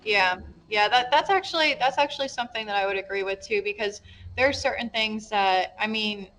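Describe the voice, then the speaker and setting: A woman hosting a casual podcast interview, whose first language is Polish, chatty and quick to laugh. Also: medium pitch, a bit bright, a little hiss or hum, low-quality recording. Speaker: podcast host.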